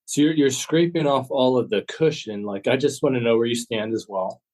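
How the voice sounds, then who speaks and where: Man, from Texas, interview guest, steady measured talk, middle-pitched voice. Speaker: interview guest, former FBI agent and author. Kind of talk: conversational interview answers.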